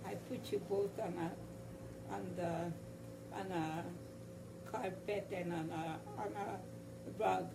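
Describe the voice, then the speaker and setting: An elderly woman with a Hungarian accent, hoarse, chatting haltingly and searching for words in a family conversation. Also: lowish voice, steady tone, distant mic, noisy background. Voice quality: gravelly. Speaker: guest grandmother. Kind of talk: casual family chat.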